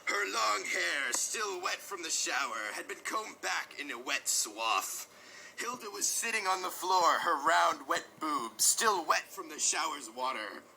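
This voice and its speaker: A man giving a mock-dramatic, overwrought reading of parody romance fiction, with drawn-out emphasis. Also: fairly high pitch, lively intonation, gravelly, sharp tone, slight background noise, poor audio. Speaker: reader of a comic dramatic reading.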